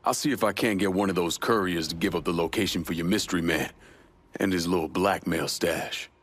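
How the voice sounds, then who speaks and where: An actor playing a gruff, terse tough man in a crime video game, blunt and dismissive. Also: low-pitched, fairly quick, a bit bright. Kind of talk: video game cutscene acting.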